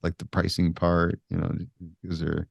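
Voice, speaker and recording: A man hosting a podcast interview, relaxed and joking in a casual way. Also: low voice, normal speed, creaky, no room sound, muffled recording. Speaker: podcast host.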